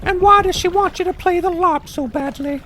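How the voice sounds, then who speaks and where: A woman's voice: very high-pitched, moderate pace, a bit bright, slight background noise, low-quality recording. A woman voicing a timid, gossipy talking owl with rustic, ungrammatical speech in a fantasy audio drama. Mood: suspicious, gossipy.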